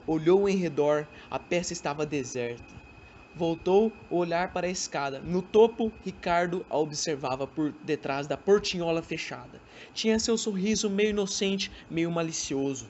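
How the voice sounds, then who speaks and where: A woman's voice: lowish voice, moderate pace, a little mellow. Woman narrating, slightly muffled recording. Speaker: narrator of a student radio drama. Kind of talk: scripted dramatic story narration. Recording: dull amateur recording with faint noise.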